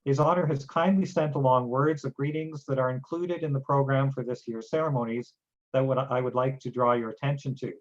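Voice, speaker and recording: A man's voice: mid-range voice, fairly even intonation, a little fast. A man hosting an awards ceremony, reading out names and titles carefully with occasional fillers. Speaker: event host. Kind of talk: formal ceremony introductions. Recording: dull lo-fi sound.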